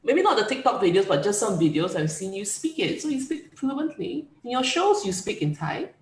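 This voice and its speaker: A woman's voice: a little high, big pitch swings, moderate pace, echoey room. A woman hosting an interview, asking encouraging, leading questions in a casual manner. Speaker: interview host.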